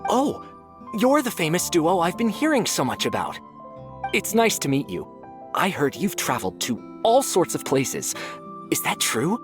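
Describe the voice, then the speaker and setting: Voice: medium pitch, wildly swooping pitch, a little slow, music in the background. An eager, rambling youngster. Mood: friendly. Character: friendly chatty young house member. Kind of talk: video game voice acting.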